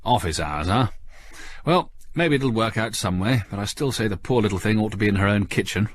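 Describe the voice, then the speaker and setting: A man's voice: fairly low pitch, animated, fairly quick, lightly acted. A man playing a stern, irritable spaceship captain with a dry, curt, sarcastic manner, in a sci-fi story reading. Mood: wry.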